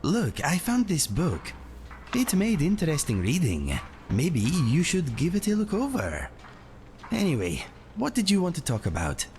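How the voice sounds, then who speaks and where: A man's voice: on the high side, huge pitch swings, a little slow, darkish tone, slight background noise, exaggerated voice. A man playing a bold, wry catlike warrior companion in a fantasy game, speaking in formal, eloquent phrases. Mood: musing. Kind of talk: fantasy game character acting.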